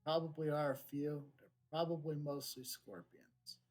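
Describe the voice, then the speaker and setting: A man's voice: on the high side, steady tone, normal speed, darkish tone. A man giving casual gameplay commentary as a streamer, musing and thinking aloud. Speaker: game streamer. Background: game music.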